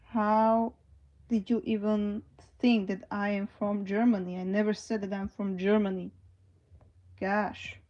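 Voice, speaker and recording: Slow, hesitant woman streamer. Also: mid-range voice, flat delivery, muffled recording. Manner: hesitant, many fillers. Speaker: livestreamer. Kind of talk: live stream, reading and answering chat.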